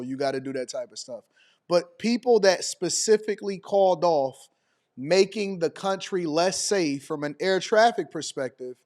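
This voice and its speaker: High-pitched American man giving commentary. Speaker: commentator and show host. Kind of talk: off-the-cuff news commentary.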